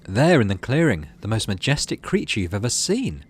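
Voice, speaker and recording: A man's voice: lowish voice, big pitch swings, a little fast, slightly rough. A man doing let's play commentary on a game, reading narration aloud and thinking through choices in tentative asides. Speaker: gaming video host.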